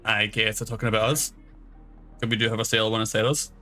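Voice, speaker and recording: A man's voice: on the low side, flat delivery, fast talker. A man streaming a game, giving casual live commentary in a slangy, off-the-cuff way. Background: soft background music.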